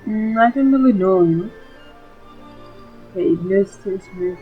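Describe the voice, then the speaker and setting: A woman's voice: medium pitch, a little slow, narrow, tinny audio. A woman giving casual, chatty let's play commentary on a video game, leaning on little verbal tags. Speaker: gaming video host. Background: game music.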